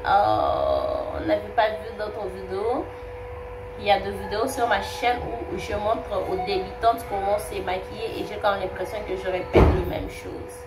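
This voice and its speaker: A woman's voice: medium pitch, sing-song, measured pace. A young woman with a cold, chatting through a makeup tutorial and often repeating herself. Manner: chatty, repeats words, many fillers. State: has a cold. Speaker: beauty vlogger. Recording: roomy, distant, noisy home recording.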